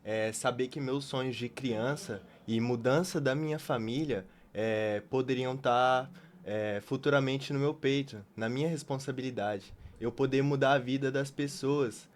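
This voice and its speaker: A young man co-hosting a casual podcast, speaking haltingly as he searches for words. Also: medium pitch, slight background noise. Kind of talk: casual conversation.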